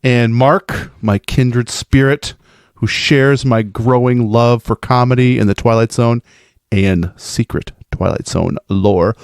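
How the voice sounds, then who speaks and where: A man's voice: medium pitch, takes it slowly, smooth, no echo, clean studio sound. A man speaking informally as a podcaster, playful and effusive, giving an enthusiastic, geeky monologue. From American Midwest. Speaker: podcast host sending in a festival recap.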